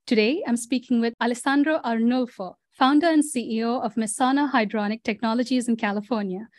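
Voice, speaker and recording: A woman's voice: fairly high pitch, a little fast, no echo. A woman hosting a podcast, reading a composed scripted introduction and then asking her guest questions. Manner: clear, composed, scripted delivery. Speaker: podcast host.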